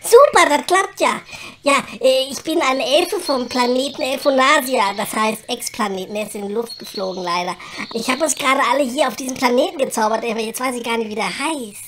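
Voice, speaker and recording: Woman playing a high-voiced feisty elf. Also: brisk, a bit bright. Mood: brisk, chatty. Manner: blunt, colloquial, exclamatory. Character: brash, feisty, grumbling female elf. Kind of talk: comedy audio drama. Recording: clearly noisy background.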